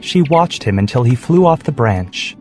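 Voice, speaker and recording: A man's voice: mid-range voice, sing-song, moderate pace, darkish tone, soft background music. A man narrating a scripted story for children.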